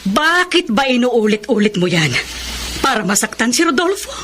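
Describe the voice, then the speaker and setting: Older aunt, high voice, lively swings, scolding but concerned, Filipino radio drama. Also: female voice, normal speed, slight background noise. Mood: reproving, pleading.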